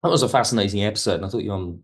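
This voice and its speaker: Guy guesting on a podcast, lowish voice, chatty, discursive, rephrases himself as he goes. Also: very fast. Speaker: podcast guest, audio drama writer. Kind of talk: casual discussion.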